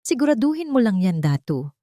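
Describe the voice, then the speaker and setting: A woman's voice: a little high, sing-song, fairly quick, warm tone, no room sound, studio quality, voice pushed up. A woman voicing a haughty, scheming older mother-in-law in a narrated story, serious and scornful. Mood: cold, scornful. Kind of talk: acted dialogue in a narrated story.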